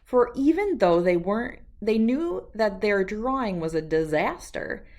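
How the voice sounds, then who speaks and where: A woman reading a children's storybook aloud with expressive, deliberate, emphatic phrasing. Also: mid-range voice. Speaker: children's book read-aloud host.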